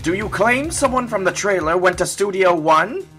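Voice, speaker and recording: A man's voice: high-pitched, lively intonation, moderate pace, sharp tone, faint music behind. A man playing a smug, condescending young prosecutor in an amateur courtroom game fan dub, with haughty, dramatic, precise delivery.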